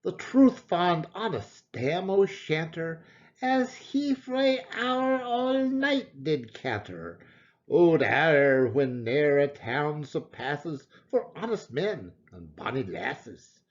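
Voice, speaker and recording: A man giving a theatrical, expressive reading of a narrative poem in Scots dialect. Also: fairly high pitch, slow, highs cut off. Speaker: poetry reader.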